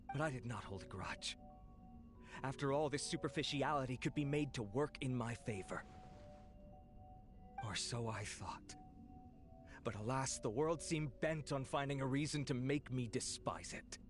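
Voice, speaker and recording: Man acting a bitter, animated criminal researcher. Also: medium pitch, moderate pace, soft background music, lightly acted. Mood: brooding narration. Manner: theatrical, indignant monologuing. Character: bitter, embittered male researcher turned criminal, self-justifying. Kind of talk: dramatic game voice acting.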